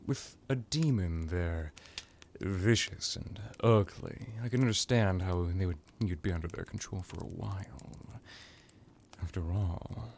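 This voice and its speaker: A man voicing an ancient, smug vampire crime lord in an ASMR roleplay, drawling with theatrical pauses and sarcastic condescension. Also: low-pitched, darkish tone, a little hiss or hum. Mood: teasing, condescending.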